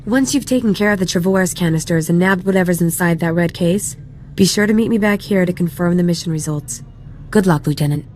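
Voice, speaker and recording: A self-assured female sergeant, voiced by a woman, with a slightly low voice. She briefs her soldier briskly, mixing commands with playful flirting. It is game dialogue with faint background noise. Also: a little mellow, natural voice. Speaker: mission briefer.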